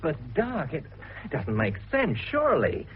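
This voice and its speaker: An earnest young companion in a radio mystery, puzzled and hesitant. His voice sits fairly high and swings widely as he questions, on a thin, phone-like recording. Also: wildly swooping pitch, normal speed. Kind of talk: radio detective drama acting. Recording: tinny, noisy radio recording.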